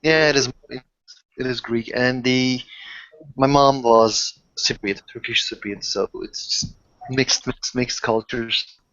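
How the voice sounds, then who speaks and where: Man, middling pitch, halting repetitive phrasing, improvised in-character chat, dull close dry sound. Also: fairly even intonation, sharp tone, no echo. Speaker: player in an online roleplaying game.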